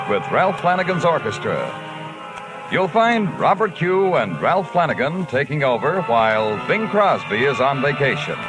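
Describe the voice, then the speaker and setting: An American man reading a program promo as a 1950s network radio announcer. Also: on the high side. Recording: old radio broadcast with music behind.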